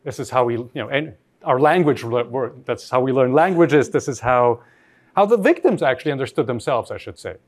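Lively-pitched man lecturing. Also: brisk. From Austria. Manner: thoughtful, rephrases mid-sentence, asks the audience questions. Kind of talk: academic lecture.

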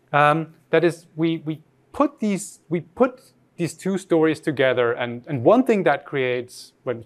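Animated, thoughtful male lecturer from Austria. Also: a little high. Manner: thoughtful, halting with frequent rephrasing. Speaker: academic lecturer. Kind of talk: reflective academic lecture, spoken off the cuff.

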